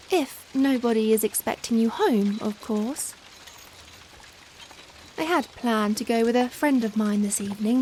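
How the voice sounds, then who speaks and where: A woman playing a young, charming female Victorian vampire in a softly spoken ASMR roleplay, gentle and caring, with formal old-fashioned phrasing. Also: fairly high pitch. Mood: gracious, inviting. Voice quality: soft, gentle. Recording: steady rain noise behind the voice. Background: rain ambience.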